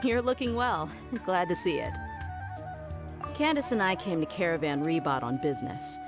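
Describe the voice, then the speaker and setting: A woman's voice: on the low side, expressive, normal speed. A woman playing a confident, easygoing desert mercenary in a video game, teasing and informal, with music behind her.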